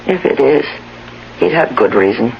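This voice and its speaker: A frail, anxious invalid wife in an old radio play. Her pitch swings widely as she pleads and pities herself, and she speaks slowly. The sound is muffled, with some hiss. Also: heavily acted. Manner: emotional, pleading, self-pitying. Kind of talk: radio drama acting.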